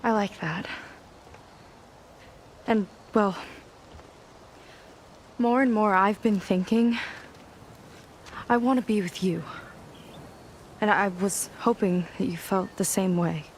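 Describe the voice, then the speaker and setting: An actress playing a frank, warm-hearted young woman sailor in a video game cutscene, with a candid, dryly teasing, natural delivery. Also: mid-range voice, slow pace, pauses often, a little hiss or hum, close to an everyday voice. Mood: tender, sincere.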